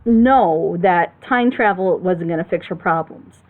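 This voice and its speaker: A woman's voice: on the low side, measured pace, phone-quality sound. A woman giving casual critical commentary on storytelling as a panelist, emphatic and opinionated, explaining her points at length. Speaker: panelist on a reaction show.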